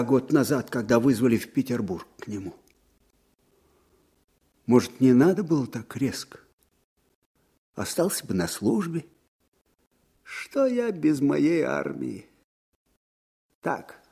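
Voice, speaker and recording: An actor playing an old, disgraced field marshal, proud and wistful, in a folksy, musing manner for a radio drama. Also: male voice, medium pitch, creaky, mellow, breathy edge, heavily acted. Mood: brooding, self-reproachful. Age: older. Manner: folksy, musing, swinging from murmurs to ringing declamation. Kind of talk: Soviet radio drama acting.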